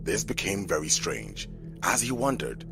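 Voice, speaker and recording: A man with a very low, gravelly voice tells a scripted tale as a dramatic narrator. His delivery is measured and rises and falls widely, with music clearly playing behind him. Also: big pitch swings.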